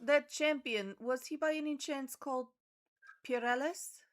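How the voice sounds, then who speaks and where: A woman's voice: high-pitched, unhurried, a loud crowd. A woman chatting casually as a player in a tabletop game, with a playful, joking manner.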